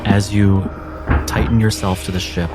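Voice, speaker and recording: Male game master, lowish voice, measured descriptive storytelling with a prominent music bed. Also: little pitch movement. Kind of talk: cinematic game narration.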